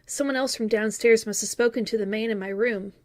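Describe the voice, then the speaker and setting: A woman's voice: fairly high pitch, steady tone, a little fast, close to an everyday voice. A woman playing a grown-up wistfully recalling childhood, narrating a scripted first-person story in an earnest, reflective way.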